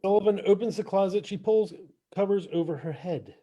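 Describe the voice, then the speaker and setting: Male narrator for a scripted podcast read, slightly high-pitched, delivering stage directions. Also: a little fast, warm tone, dull audio.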